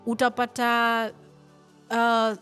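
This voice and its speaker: A young woman from Kenya, a sales team leader, explaining things casually in an interview, relaxed and chatty with frequent fillers. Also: fairly high pitch, slow, clear, resonant tone, faint music behind. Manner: relaxed, explanatory, laughs easily, many fillers.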